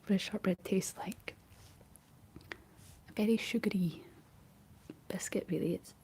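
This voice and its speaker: A Scottish woman whispering a rambling, chatty ASMR talk in a breathy voice, laughing often as she goes. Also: medium pitch, unhurried, a little mellow. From Scotland. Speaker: ASMR creator.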